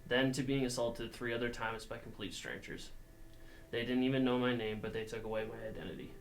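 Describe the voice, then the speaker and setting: Young male reader, even monotone delivery, middling pitch, faint background noise. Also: a little fast, low-quality recording. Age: young adult. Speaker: student reader. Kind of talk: reading a personal account aloud.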